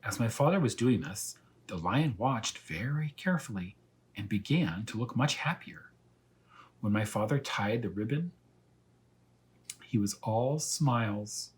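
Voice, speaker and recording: A man reading a children's story aloud as a teacher of young pupils, in animated narration. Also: on the low side, unhurried, slightly rough.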